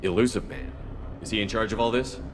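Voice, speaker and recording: An actor playing a no-nonsense, decisive space commander in a video game, speaking bluntly. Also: male voice, lowish voice, steady tone, brisk, long reverb tail, background noise, natural voice.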